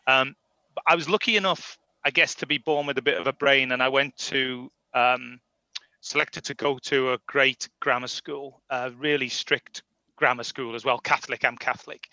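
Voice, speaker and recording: A man's voice: medium pitch, fairly even intonation, moderate pace, pushed and bright, no room sound, muffled recording. A man from Liverpool speaking informally as a guest, telling his own story in a chatty, self-deprecating way. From Liverpool, England. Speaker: guest speaker, industry professional. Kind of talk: informal personal career story.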